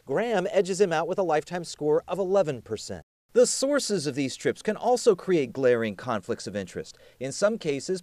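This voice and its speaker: Animated high-pitched male news reporter. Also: dry recording. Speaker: television news reporter and anchor. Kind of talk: scripted TV news narration.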